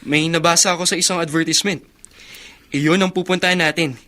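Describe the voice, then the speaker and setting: A man's voice: on the high side, fairly even intonation, brisk, bright, forceful tone. An actor in a Filipino radio drama playing an earnest, ambitious and determined young man. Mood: earnest, eager. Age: young adult.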